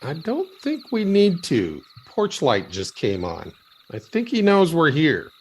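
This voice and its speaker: Wry, lively inquisitive writer. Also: male voice, on the high side, unhurried, a little hiss or hum, exaggerated voice. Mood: wry, curious. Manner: wry, interrupting, pedantic. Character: inquisitive, obsessive male writer chasing alien mysteries. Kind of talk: naturalistic audio drama dialogue.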